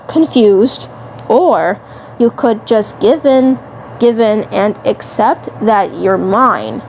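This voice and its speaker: A woman's voice: fairly high pitch, a little mellow, slight background noise, low-quality recording. A woman voicing a possessive, lovestruck male alien commander in a fan drama, gloating and repeating phrases. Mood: menacing, taunting.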